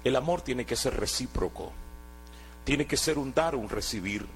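A man's voice: medium pitch, lively intonation, normal speed, gravelly, a little hiss or hum. A man from Guatemala, giving an earnest sermon testimony and often restarting his words. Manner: earnest, exhorting, with hesitant restarts.

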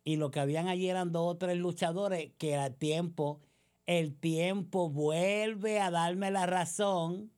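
A woman's voice: on the low side, a little mellow. A woman with a Puerto Rican accent delivering a combative political monologue, sarcastic and accusatory, full of rhetorical questions. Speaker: political commentator.

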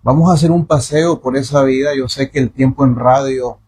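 Effusive radio host. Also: male voice, medium pitch, steady tone, a little fast, slightly rough. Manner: warm, effusive, formal address to the guest. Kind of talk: radio interview.

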